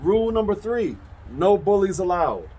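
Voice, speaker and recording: A man reading a children's story aloud as a teacher would, with expressive, emphatic delivery. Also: high voice, normal speed, husky, rough recording.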